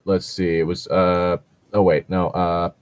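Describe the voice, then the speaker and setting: A man's voice: low voice, little pitch movement, measured pace, dry recording. A man co-hosting a comedic fan fiction read-aloud, chatting casually in a sweary, exasperated, sarcastic way, close to the microphone. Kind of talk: casual chat mixed with mocking read-aloud.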